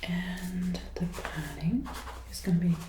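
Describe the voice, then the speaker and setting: A woman doing a gentle, attentive ASMR roleplay as an outfit designer, soft-spoken and often whispering, breathy and close to a binaural mic. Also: lowish voice, fairly even intonation, measured pace, roomy sound, noisy background. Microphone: close binaural microphone, ear to ear.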